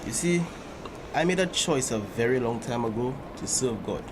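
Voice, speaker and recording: A man acts out a kindly priest in a drama, with a mid-pitched voice. He talks in a gentle, fatherly, reassuring manner. Clear background ambience runs through the location recording. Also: moderate pace, natural voice. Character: kindly, older-generation priest gently counselling a parishioner. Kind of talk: dramatic acted dialogue. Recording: noisy location sound with steady ambience.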